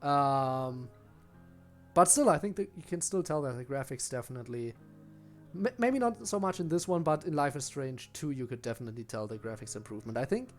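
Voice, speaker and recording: A man's voice: medium pitch, animated, a little fast, soft background music. A German man with a German accent, chatting casually with viewers during a live game stream, rambling and often restarting his sentences. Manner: rambling, with frequent restarts while answering chat. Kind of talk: casual live-stream chat while gaming.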